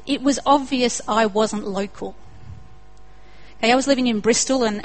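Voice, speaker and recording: A woman's voice: medium pitch, low-quality recording. A young woman with an Australian accent preaching a sermon in an earnest way, building to emphatic repetition.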